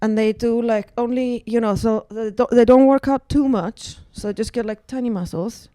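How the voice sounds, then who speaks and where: A woman's voice: a little high, fairly even intonation, normal speed, dark tone, dry recording. A woman with an Icelandic accent, a guest comedian telling wry, self-deprecating stories in casual podcast chat, with many fillers.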